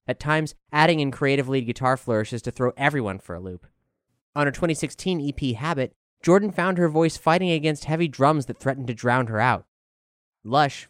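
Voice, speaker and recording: A man reading a scripted music review as a narrator, with a clear, smooth voice over music. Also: mid-range voice, no room sound, clean studio sound.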